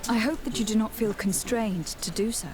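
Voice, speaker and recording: A woman's voice: on the high side, moderate pace, background noise, close to an everyday voice. An actress playing a courteous, self-assured and compassionate noblewoman in a video game. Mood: polite, composed.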